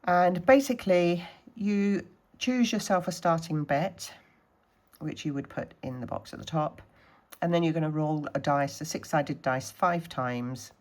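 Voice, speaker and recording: A woman from the Scottish Highlands, a little snuffly from a cold, chatting casually through her budgeting challenges and counting money aloud. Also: unhurried, a little mellow. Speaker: budgeting vlogger.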